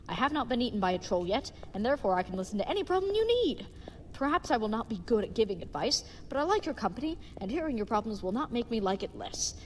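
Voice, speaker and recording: Audio drama knight female actor: gallant, simple-minded, sincere, formal old-fashioned diction, mid-pitched, echoing hall sound. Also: slight background noise, natural voice. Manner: formal, stilted, uncontracted, chivalrous speech. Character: earnest, dim-witted, gallant young knight.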